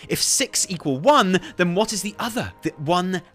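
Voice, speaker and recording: A man's voice: sits high, expressive, moderate pace, a bit bright, faint music behind, voice pushed up. A man voicing an obsessive, rambling scholar-explorer in a theatrical, grandiose reading of fantasy game expedition notes. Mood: pensive, rueful.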